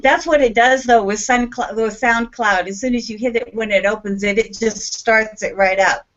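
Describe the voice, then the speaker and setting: A woman's voice: a little high, moderate pace. Earnest rambling woman, interview guest. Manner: earnest, rambling, with many restarts and fillers. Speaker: guest singer-songwriter. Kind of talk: casual interview chat. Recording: dull lo-fi sound.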